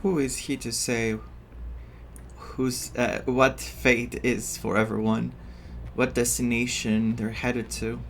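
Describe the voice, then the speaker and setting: A man giving casual, musing playthrough commentary as a game streamer, with halting reactions. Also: mid-range voice. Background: game music.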